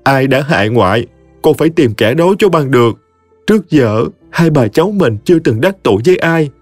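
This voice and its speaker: A man voicing a grieving young woman, pleading in a high, strained, tearful voice. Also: animated, normal speed, no echo, faint music behind, natural voice. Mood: anguished.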